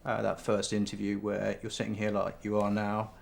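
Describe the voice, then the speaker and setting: A man's voice: lowish voice, monotone, normal speed, vocal fry. A man interviewing a guest, asking long probing questions with hesitant restarts and fillers. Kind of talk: interview conversation.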